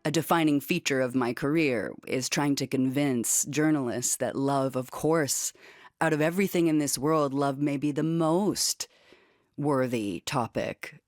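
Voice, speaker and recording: A woman's voice: rumbling low, unhurried, dry recording. A woman from Canada, an audio artist, talking earnestly and reflectively in an interview, repeating phrases for emphasis. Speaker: audio artist and radio playwright.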